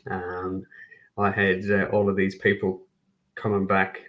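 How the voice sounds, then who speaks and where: A middle-aged Irish man from Kerry with a low, steady voice that barely rises or falls. He speaks as a podcast guest in easy conversation, with dry self-mocking humor and plenty of restarts. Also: a little slow, lo-fi sound. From Ireland. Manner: self-deprecating humor, frequent restarts and fillers. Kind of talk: casual podcast interview.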